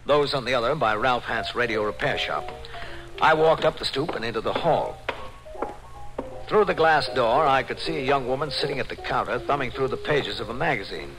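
A man with an American accent acting as a patient, authoritative police captain in an old radio drama, measured and businesslike. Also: medium pitch, expressive, music in the background, lightly acted. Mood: matter-of-fact. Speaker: actor and first-person narrator. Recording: faintly noisy old radio broadcast.

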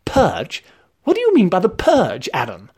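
A narrator puts on a prim British gentleman abroad for a comic story. He is formal, fussy and easily indignant, protesting in a voice that swings widely in pitch. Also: sing-song, measured pace.

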